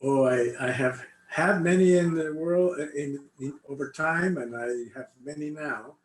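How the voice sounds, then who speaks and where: An older man from Cuba answers casual interview questions about his life, often pausing to search for his words. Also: a little high. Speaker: interviewee, congregation member. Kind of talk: casual personal interview, recollecting. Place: indoor room, online video call. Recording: echoey room, faint background noise.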